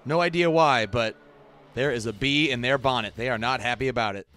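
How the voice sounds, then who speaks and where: A man's voice: mid-range voice, brisk, fairly bright tone, slight background noise. A chatty, self-critical man giving casual reaction commentary over video game scenes. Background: video game scenes playing.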